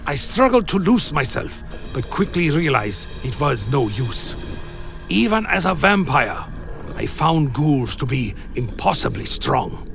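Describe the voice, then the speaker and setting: A man playing an anxious vampire who recounts his own dark tale in a dramatic radio play. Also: a little high, sing-song, unhurried, gravelly, over music, full character voice. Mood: tense, recounting. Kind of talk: first-person dramatic narration, radio play.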